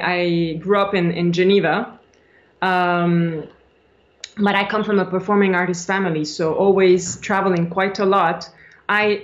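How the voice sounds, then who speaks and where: A woman's voice: mid-range voice, a bit bright. A woman in her forties from Switzerland, talking as a guest on a video call interview, chatty with frequent laughs and restarts. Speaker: guest, actress. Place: small indoor room by a window. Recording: dull lo-fi video-call audio.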